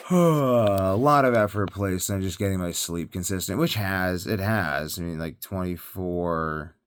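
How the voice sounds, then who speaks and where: A man's voice: deep voice, unhurried, clear, resonant tone. A tired man talking in a casual, rambling monologue as a health vlogger, hesitant and thinking aloud, often trailing off.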